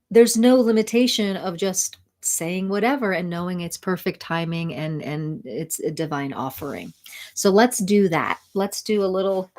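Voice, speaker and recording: A woman hosting a spiritual sound healing livestream, talking slowly and soothingly while reading viewer comments and laughing softly. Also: mid-range voice. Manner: slow, soothing, reflective, with soft laughs and restarts.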